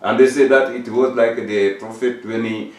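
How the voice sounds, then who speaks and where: Older man, West African English accent, earnest, halting fillers, voice a bit off the mic, echoey room. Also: medium pitch, fairly even intonation, far from the mic. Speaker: discussion participant. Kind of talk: casual group discussion.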